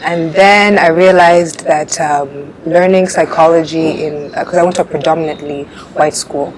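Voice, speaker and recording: Low-voiced young woman in noisy room. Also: normal speed. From Uganda. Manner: relaxed, laughs often, hesitant fillers. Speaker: pageant contestant guest. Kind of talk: interview answers. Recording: noisy background.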